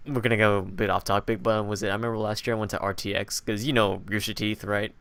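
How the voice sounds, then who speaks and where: A man's voice: lowish voice, flat delivery, quick pace, fairly bright tone, smooth. A man hosting a casual, jokey and informal interview chat. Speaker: podcast host.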